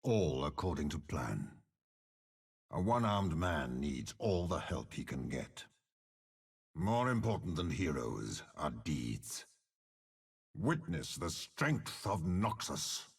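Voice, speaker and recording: An actor playing a commanding, cunning older general in a video game, with a raspy voice and a measured, imperious delivery. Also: male voice, sing-song, full character voice. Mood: grimly commanding. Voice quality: deep gravelly rasp. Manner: slow, grandiose, measured, imperious. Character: commanding older male warlord general, cold, cunning, menacing. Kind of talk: video game character voice lines.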